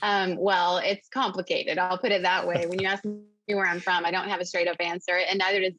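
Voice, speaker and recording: A woman's voice: lowish voice, flat delivery, fairly quick, muffled recording. A woman in her forties, born in the US and raised in Venezuela, speaking as an interview guest in an articulate, storytelling way. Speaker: guest, podcast host. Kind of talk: interview conversation.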